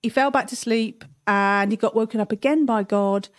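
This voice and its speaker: A woman's voice: on the high side, moderate pace. A woman hosting a children's story time, chatty and giggly as she retells a story. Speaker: storyteller host.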